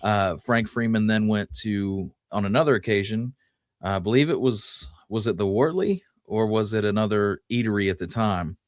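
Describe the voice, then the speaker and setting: A man co-hosting a talk show, telling history conversationally and pausing now and then to recall details. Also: fairly low pitch, dry recording, clean studio sound. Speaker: podcast co-host.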